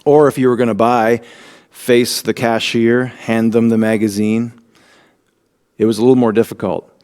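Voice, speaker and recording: A man hosting a church talk program, giving a measured, earnest scripted introduction. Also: medium pitch, little pitch movement, a little mellow, clean tone. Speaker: host.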